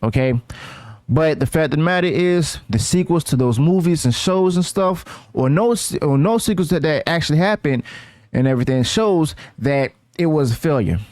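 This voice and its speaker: A man giving informal news commentary as a channel host, halting but emphatic in his delivery. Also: fairly high pitch, expressive, a little mellow, dry recording, clean studio sound. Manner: halting, emphatic, with "okay" as a frequent tag.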